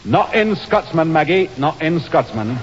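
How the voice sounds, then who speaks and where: An earnest, humourless young Scotsman in a stage drama, stubborn and declamatory. His husky voice moves in lively swings of pitch. It sounds dull, with long hall reverb. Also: unhurried, background noise. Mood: stiff, dutiful. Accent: Scottish.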